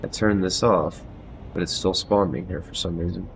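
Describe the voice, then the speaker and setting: A man in his thirties chatting casually on a live stream from a small room at home, loud-voiced, loose and self-deprecating, with frequent swearing, on a headset mic. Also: low-pitched, fairly even intonation, normal speed, a little hiss or hum, poor audio. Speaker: game streamer.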